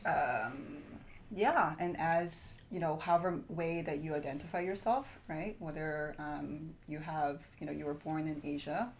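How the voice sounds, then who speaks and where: A woman leading a group chat, halting and full of fillers and tag questions. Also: deep voice, a little hiss or hum. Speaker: discussion leader. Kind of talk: casual group discussion.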